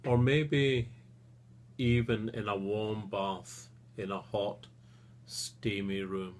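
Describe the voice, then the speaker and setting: A man leading a guided relaxation session as a therapist, speaking soothingly and gently in short phrases with long pauses. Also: fairly low pitch, steady tone, slight background noise.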